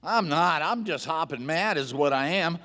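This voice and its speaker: Folksy hot-headed sheriff, American drawl, animated, Western radio play, reverberant stage. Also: male voice, on the high side, moderate pace. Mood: indignant. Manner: drawling cowboy slang, exclamatory. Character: blustering, folksy Old West sheriff, hot-tempered. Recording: live stage hall, long reverb.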